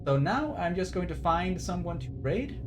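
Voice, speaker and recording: A man's voice: high-pitched, sing-song, normal speed. A man chatting casually on a live stream, rambling with swearing, fillers and restarts. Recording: stream audio with game music behind.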